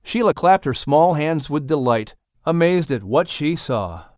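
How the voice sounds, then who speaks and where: Expressive storybook narrator, dry close sound. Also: female voice, deep voice, unhurried, dry recording. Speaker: audiobook narrator. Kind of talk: scripted children's storybook narration.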